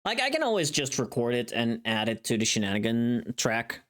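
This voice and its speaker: A streamer with a medium-pitched voice chats casually, rambling and thinking aloud. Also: big pitch swings, normal speed, a bit bright. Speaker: live streamer. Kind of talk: casual livestream chat.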